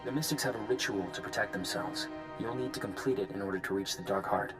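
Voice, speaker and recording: A man's voice: lowish voice, fast, lightly acted. A man playing a matter-of-fact adviser in a video game, reporting in a businesslike, informative way. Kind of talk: video game cutscene acting. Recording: loud music under the voice.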